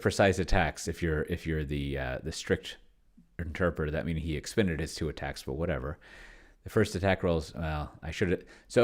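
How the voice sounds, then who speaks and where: A man giving casual, rambling commentary on a solo tabletop game, thinking aloud and muttering to himself as he types. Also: low-pitched. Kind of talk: casual screen-recording commentary, thinking aloud while typing. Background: keyboard typing.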